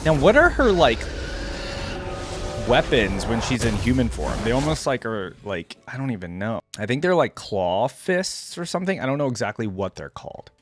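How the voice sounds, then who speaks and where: Casual man reacting over clear music. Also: mid-range voice, sing-song, normal speed. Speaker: reaction video host. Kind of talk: casual off-the-cuff reaction commentary. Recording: music playing behind the voice.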